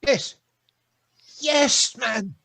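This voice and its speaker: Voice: medium pitch, drawn-out words, gravelly, bright, forceful tone, dry recording, heavily acted. A performer playing a tormented elderly male miser in a theatrical radio drama. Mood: anguished pleading.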